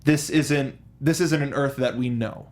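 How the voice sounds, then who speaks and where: A man co-hosting a movie review show in a casual, animated way, throwing in mock outbursts. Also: mid-range voice, a little slow. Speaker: co-host of a film review show. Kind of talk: casual film discussion.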